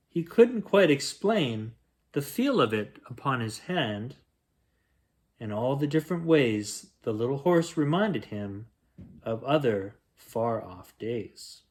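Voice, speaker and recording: A man reading a rhyming picture book aloud as a bedtime story, in a gentle, expressive storytelling manner. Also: mid-range voice, slow pace. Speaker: children's bedtime story reader.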